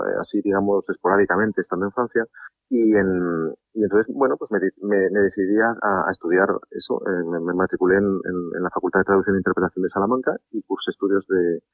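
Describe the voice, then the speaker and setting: A man's voice: low voice, dry recording, highs cut off. A middle-aged man from Spain, a harpsichordist and translator, talking at length as a radio guest with many restarts. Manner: talkative, warm, many restarts and laughs. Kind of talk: relaxed radio interview.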